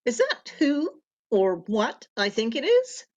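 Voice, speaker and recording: Woman acting a dry, expressive quipping sidekick. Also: a little high, slow, lo-fi sound, heavily acted. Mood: sardonic. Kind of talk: comic old-time radio play acting.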